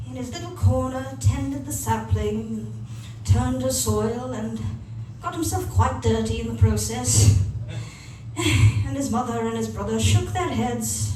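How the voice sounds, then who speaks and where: A woman's voice: high voice, darkish tone. A woman telling a fairy tale as a storyteller. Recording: very echoey, distant, noisy room.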